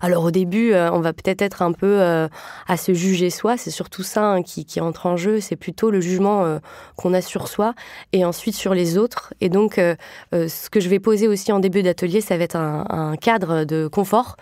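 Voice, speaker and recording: A woman who leads improv workshops, describing them as a podcast guest in a relaxed way with frequent fillers. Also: lowish voice, moderate pace, dry recording, clean studio sound. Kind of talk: podcast discussion.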